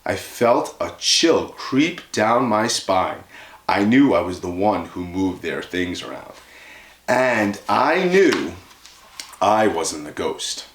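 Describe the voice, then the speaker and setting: Man as a timid little storybook hamster, lively delivery. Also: on the low side, takes it slowly, roomy sound. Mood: spooked. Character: timid, excitable pet classroom hamster narrating, squeaking replies.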